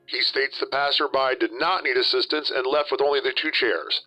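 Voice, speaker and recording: A man's voice: medium pitch, fairly even intonation, fairly quick, sharp tone. A man giving formal, matter-of-fact police dispatch reports over a radio. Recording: dull band-limited radio with music behind.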